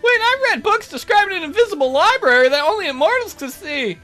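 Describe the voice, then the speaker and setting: A devoted bird servant voiced by a man in a visual novel game, extremely high-pitched and swooping. The delivery is flustered and deferential, quick to take offence on the lady's behalf, with music underneath. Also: very animated, bright, forceful tone, full character voice. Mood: awed. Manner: flustered, deferential, easily indignant. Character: excitable, devoted bird attendant to a lady.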